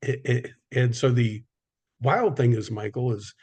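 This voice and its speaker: A man's voice: medium pitch, unhurried, darkish tone, breathy edge. A man hosting a podcast, speaking casually with frequent stuttered repetitions. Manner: stuttering speech with repetitions, conversational. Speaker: podcast host, business owner. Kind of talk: casual conversation.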